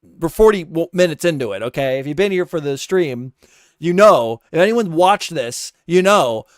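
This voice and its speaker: A man's voice: a little high, expressive, moderate pace, no room sound, studio quality. A man giving heated, casual commentary as a reaction streamer, ranting and exasperated, often repeating himself.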